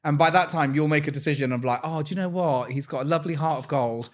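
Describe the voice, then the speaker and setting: A young man with an English accent chats easily as a podcast co-host. He jokes at his own expense and keeps things light. His voice sits slightly higher than most men's. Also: quick pace. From England. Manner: chatty, self-deprecating, jokey. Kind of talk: casual chat.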